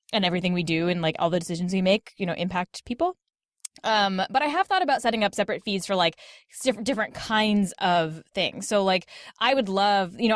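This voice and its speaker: A woman talks quickly in a loose, conversational podcast style, often dropping in "like" as she goes. Her voice is recorded dry and close, with very little room around it. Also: mid-range voice, no echo. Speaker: podcast host as interview guest. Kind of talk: casual podcast panel chat.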